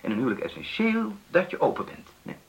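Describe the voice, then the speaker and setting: An actor playing an evasive middle-aged man in a naturalistic radio drama, halting and stammering as he talks to his partner. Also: a little high, huge pitch swings, a little hiss or hum, low-quality recording. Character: evasive, hesitant middle-aged male partner, casually teasing.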